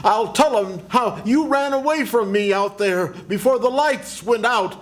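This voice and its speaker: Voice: medium pitch, measured pace, lightly acted. An older performer voicing a husband named Harvey in an amateur live radio play, speaking in a blustering, accusing way.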